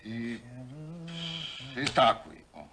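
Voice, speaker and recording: A man's voice: mid-range voice, lively intonation, drawn-out words, sharp tone, full character voice. An actor playing a wry workman in a film, ribbing his mates with folksy quips. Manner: folksy, quipping. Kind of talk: naturalistic film acting. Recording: 1970 film soundtrack with faint noise.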